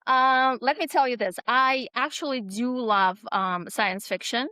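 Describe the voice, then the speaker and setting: A woman's voice: a little high, measured pace, bright, forceful tone, dry recording. A middle-aged woman, answering interview questions thoughtfully, with many fillers and tag questions like "right?" and "okay?". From Russia. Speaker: author guest.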